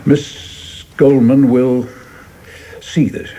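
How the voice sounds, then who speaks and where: An actor playing a principled, troubled government secretary in a radio play, speaking earnestly and haltingly. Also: male voice, medium pitch, level tone, warm tone. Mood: uneasy, resistant. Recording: clearly noisy background ambience.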